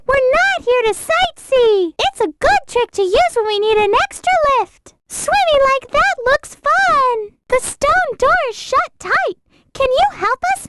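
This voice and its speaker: A woman's voice: extremely high pitch, heavy background noise, full character voice. A woman voicing a sweet, timid, polite little girl rabbit in a video game, with a tiny, childlike, earnest voice. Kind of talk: video game character voice clips.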